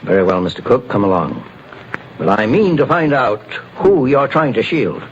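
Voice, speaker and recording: An actor playing a measured, authoritative private investigator in a 1940s-style radio drama. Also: male voice, mid-range voice, big pitch swings, muffled recording, natural voice. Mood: calm. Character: calm, shrewd male private investigator. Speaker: detective. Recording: hissy old radio broadcast.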